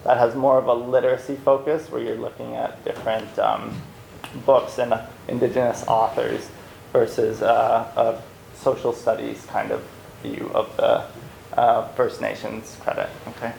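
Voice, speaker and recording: Gravelly, even-toned man in echoey room. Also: medium pitch, measured pace. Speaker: teacher. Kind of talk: informal course presentation to a school assembly. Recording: echoey hall, faint background noise.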